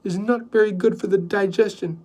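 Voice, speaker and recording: A gentle, soft-spoken woman, as a narrator puts her on, pitched above his own voice. She is mild and polite. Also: fairly even intonation, normal speed, recorded from a distance.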